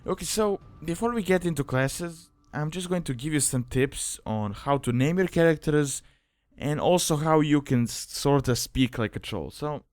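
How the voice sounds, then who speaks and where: A man's voice: fairly high pitch, expressive, moderate pace, a little mellow, no echo. Casual man presenting a tutorial. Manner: informal, conversational, hedging phrases. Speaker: video guide presenter. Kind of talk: casual explanatory tutorial narration.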